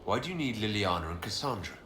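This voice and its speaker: Low, forceful fantasy commander. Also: male voice, big pitch swings, fast, a little hiss or hum. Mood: questioning. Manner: blunt, questioning, serious. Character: stern, weary commanding hero, blunt leader. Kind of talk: dramatic video game dialogue. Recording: roomy echo, music underneath.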